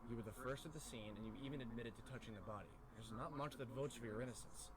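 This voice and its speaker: A man's voice: medium pitch, quick pace, close to an everyday voice, rough recording. A man voicing a firm, wry, businesslike police detective in a visual novel game. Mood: stern.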